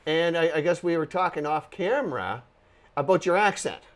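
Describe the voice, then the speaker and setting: Low-voiced host with a lively, halting delivery. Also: female voice. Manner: rambling, halting, many restarts. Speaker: talk show host. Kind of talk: casual interview chat. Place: local TV studio.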